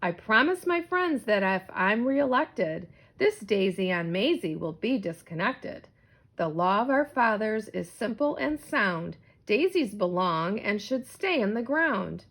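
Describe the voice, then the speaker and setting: A woman's voice: medium pitch, big pitch swings, unhurried, a bit bright, full character voice. A woman voicing a pompous, long-winded male mayor making a speech in a children's story.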